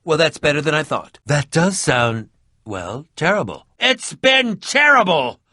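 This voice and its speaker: An eccentric game adventurer with a bright, forceful voice that swings widely in pitch. He is pompous and sardonic, choosing formal words and delivering them with theatrical flair. The sound is dry and close. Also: big pitch swings, a little slow, no echo, exaggerated voice. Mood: dry, uneasy. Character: eccentric, prickly, melodramatic bearded male adventurer. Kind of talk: animated video game voice acting.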